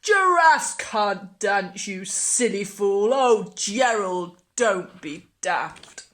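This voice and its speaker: A woman voicing brash, excitable jungle animals from a children's storybook. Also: medium pitch, very slow. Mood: mocking.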